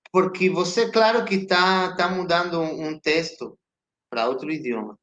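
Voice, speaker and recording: A Chilean man, a native Spanish speaker with a Chilean Spanish accent, talking reflectively as a guest actor on a video call, halting and restarting often. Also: high-pitched, fairly bright tone, highs cut off. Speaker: guest actor and theater director. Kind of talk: casual interview chat. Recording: roomy echo.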